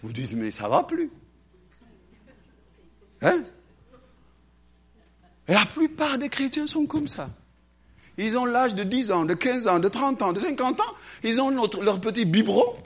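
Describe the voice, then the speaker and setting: A man preaching a lively sermon to a congregation, acting out little voices and swinging between loud and hushed delivery. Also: very high voice, unhurried, long pauses, slightly rough. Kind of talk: animated sermon.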